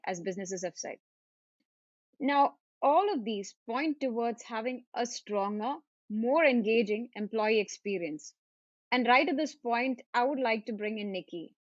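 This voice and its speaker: A woman's voice: fairly high pitch, no echo. A woman hosting a webinar in a formal, scripted manner, with occasional fillers. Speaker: webinar host, value manager. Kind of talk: webinar moderation. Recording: dull, dry audio.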